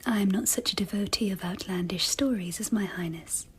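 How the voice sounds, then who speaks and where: An actress playing a wary, proud empress in a radio drama, speaking in a cool, breathy whisper. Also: female voice, mid-range voice, fairly quick, a crowd in the background, lightly acted. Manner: cool, sceptical, quietly confiding.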